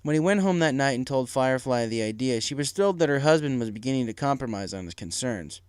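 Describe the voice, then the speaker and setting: A man's voice: mid-range voice, expressive, fast, clean tone, no room sound, clean studio sound. A man reading a story aloud as scripted audiobook narration. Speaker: audiobook reader of fan fiction.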